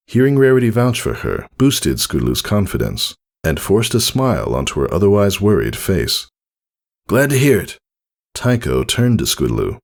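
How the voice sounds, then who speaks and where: A man's voice: low-pitched, big pitch swings, normal speed, gravelly, few pauses. A man reading a story aloud as narrator in a scripted storytelling style. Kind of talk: scripted fan fiction narration.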